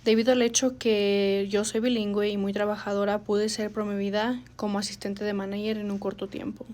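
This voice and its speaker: A young woman giving a prepared personal statement, measured, with frequent pauses and fillers. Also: mid-range voice, flat delivery, darkish tone. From United States. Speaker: student speaker.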